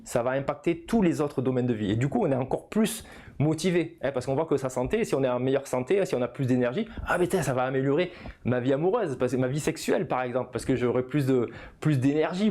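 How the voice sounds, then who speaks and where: Casting: male coach, 50s, mid-pitched voice, informal didactic delivery with frequent verbal tags. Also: dark tone. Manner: didactic, punctuates phrases with "hein" and "voilà". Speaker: personal development coach. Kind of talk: informal video lesson, explanatory.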